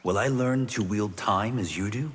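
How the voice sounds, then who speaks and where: A man's voice: on the low side, normal speed, in a large hall, very noisy, lightly acted. A man playing an earnest young warrior in a video game, asking questions eagerly. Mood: earnest, curious. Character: earnest young male warrior, destined thunder champion. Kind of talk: video game character dialogue.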